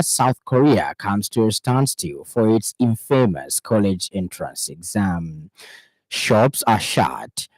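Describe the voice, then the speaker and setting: Low-voiced Zambian male news reader. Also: a little mellow, dry recording, poor audio. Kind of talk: scripted news bulletin reading. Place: radio studio.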